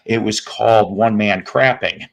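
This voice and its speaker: A man with a raspy, hoarse voice hosting a music podcast, joking and rambling through tangents in casual chat. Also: on the low side, unhurried, highs cut off. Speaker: podcast host.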